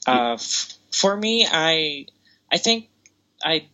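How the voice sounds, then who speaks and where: A man's voice: on the high side, sing-song, slow, bright, forceful tone, highs cut off. A man tutoring English in a casual conversation lesson, explaining patiently and coaching his student.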